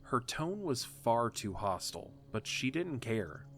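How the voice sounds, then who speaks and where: A man narrating a fan audio drama with steady, measured reading. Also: medium pitch. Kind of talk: scripted story narration.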